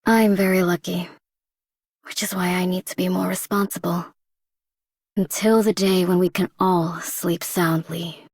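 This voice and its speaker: A woman's voice: fairly low pitch, steady tone, unhurried, darkish tone, slightly rough, no room sound, studio quality. An actress voicing a weary, earnest, responsible woman fighter in a video game, speaking in a subdued, serious way. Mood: solemn, weary. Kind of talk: video game character voice lines.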